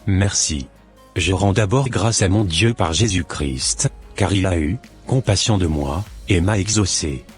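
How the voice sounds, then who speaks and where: Man voicing a low-pitched, devout, humble man. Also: a little slow, faint music behind. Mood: grateful. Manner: pious, earnest, formal. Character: devout, humble formerly homeless man, earnest and grateful.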